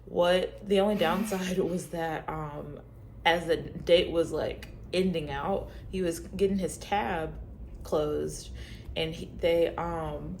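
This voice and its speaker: A woman's voice: fairly low pitch, steady tone, takes it slowly, gravelly, darkish tone, slight background noise. Rambling storyteller woman in her thirties. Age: 30s. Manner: rambling storyteller with many fillers. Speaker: podcast co-host. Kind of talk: casual chat.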